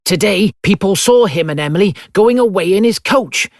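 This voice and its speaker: A man's voice: sits high, animated, moderate pace, no echo, clean studio sound, voice pushed up. A man voicing a desperate, heartbroken young man in an audiobook novel, shaky and distraught.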